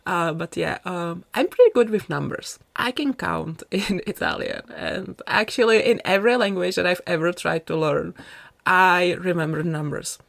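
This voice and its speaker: A woman from the chats casually in a voice-over. Her voice sits fairly low with a noticeable creak. The sound is dry, with no room around it, and she rambles along as a video creator talking to viewers. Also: normal speed, no room sound. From Czech Republic. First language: Czech. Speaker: video creator narrating a game build.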